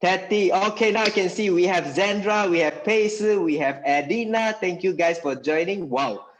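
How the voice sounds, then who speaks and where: A man's voice: high-pitched, moderate pace, low-quality recording. Chatty Malaysian man hosting a live stream. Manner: code-switching, chatty, repeats himself. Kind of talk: casual live stream chat.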